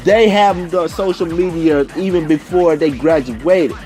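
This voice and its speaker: A young man telling a personal story casually, in an animated, slangy way, acting out the conversations as he goes. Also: on the high side, measured pace, soft background music. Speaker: video storyteller.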